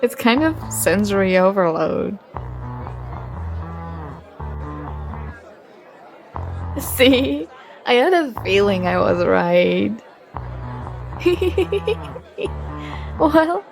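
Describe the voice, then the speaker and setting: Slow, gravelly-edged friendly young student played by a woman. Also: fairly high pitch, sing-song, soft background music, some chatter behind, heavily acted. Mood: curious, sympathetic. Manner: gentle, unhurried, with small giggles. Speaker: ASMR roleplay performer. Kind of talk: ASMR roleplay, soft conversational acting.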